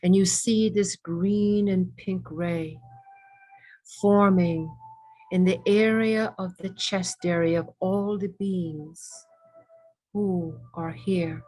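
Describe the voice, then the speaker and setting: A woman leading a guided meditation with ASMR elements, speaking reverently in short, measured phrases with pauses between them. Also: fairly low pitch, steady tone, a little mellow, soft background music, poor audio.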